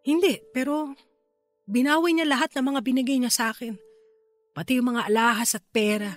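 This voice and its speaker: An actress plays a distraught Filipino older sister in a radio drama. Her voice is very high, tearful and pleading, heavy with regret, over soft music. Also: fairly even intonation, normal speed, lightly acted. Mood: dejected.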